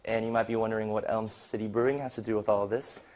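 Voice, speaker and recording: A man's voice: on the low side, steady tone, fast, darkish tone. A young man giving an informal student slide presentation in a casual, conversational way. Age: young adult.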